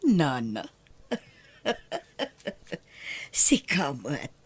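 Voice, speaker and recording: A woman's voice: low-pitched, wildly swooping pitch, drawn-out words, slightly rough, heavily acted. A woman acting a wealthy, doting, forward lady in an Ilocano audio drama, with a coquettish, sighing delivery. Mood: flirtatious, coaxing.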